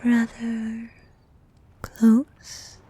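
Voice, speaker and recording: A woman voicing a vain, flirtatious sea queen monster in an ASMR roleplay, soft and half-whispered, with a regal, teasing manner. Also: a little high, completely flat delivery, slightly rough, exaggerated voice. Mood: coaxing, flirtatious. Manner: regal, teasing, slow and coaxing.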